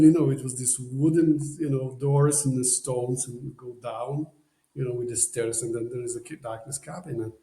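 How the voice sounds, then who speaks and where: Polish-accented man, gravelly and roomy. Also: medium pitch, dark tone. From Poland. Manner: rambling, many "you know" fillers, non-native phrasing. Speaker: podcast guest. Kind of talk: casual storytelling.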